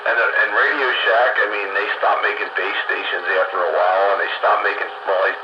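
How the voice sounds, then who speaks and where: Through a muffled, echoey link comes a middle-aged man with a lowish voice. He talks casually and bluntly, rambling on and stressing his opinions. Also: fast. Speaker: host of a hobby radio round-table. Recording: muffled, very noisy, echoing radio link.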